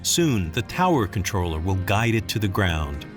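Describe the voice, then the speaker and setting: A man's voice: lowish voice, sing-song, moderate pace. A man reading scripted documentary narration. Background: music.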